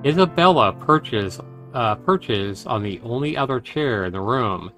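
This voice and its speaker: A man's voice: mid-range voice, animated, a little slow, a bit bright. A man livestreaming a game, reading its narration aloud dramatically with casual asides. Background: game music.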